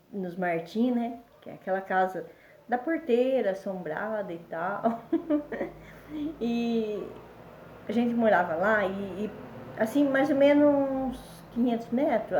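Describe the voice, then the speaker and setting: A woman's voice: mid-range voice, a little mellow, echoey room. An older woman from Brazil telling family memories in a rambling, casual way, full of fillers and restarts, and a bit congested. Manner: rambling, with many fillers and self-corrections. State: congested, a bit under the weather. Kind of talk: casual storytelling.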